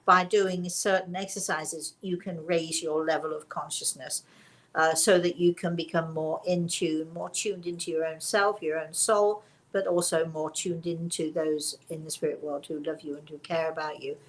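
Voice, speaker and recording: Deliberate woman hosting a spiritual talk. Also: low-pitched, flat delivery. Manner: deliberate, repeats and rephrases for emphasis. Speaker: host and spiritual teacher. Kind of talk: casual spiritual talk with questions and storytelling.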